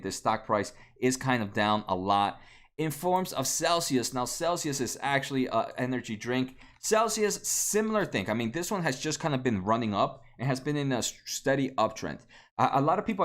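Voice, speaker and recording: A man's voice: medium pitch, a bit bright. Late-20s male streamer, native Spanish speaker, loose rambling delivery with restarts, desk mic at home. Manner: rambling, many fillers and restarts. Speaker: stock market livestream host. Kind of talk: casual livestream chat answering viewers.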